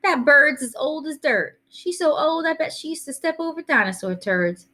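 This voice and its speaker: A woman's voice: sits high, animated, moderate pace, sharp tone, voice pushed up. A woman voicing a cool, street-smart teenage boy who talks slangily out of the corner of his mouth in a storybook reading. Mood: scornful.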